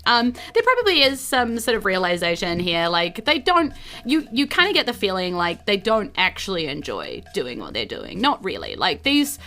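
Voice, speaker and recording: A woman's voice: mid-range voice, wildly swooping pitch, moderate pace, few pauses. A woman with a New Zealand accent narrating a tabletop roleplaying game as game master in a casual, improvised way. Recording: light music under the voice. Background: soft background music.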